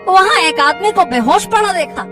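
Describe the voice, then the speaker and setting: A woman voicing an excitable, earnest young village fisherman in a Hindi cartoon, exclaiming and repeating words urgently. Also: extremely high pitch, normal speed, distant mic, music in the background. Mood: urgent, respectful. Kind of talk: Hindi cartoon moral story acting.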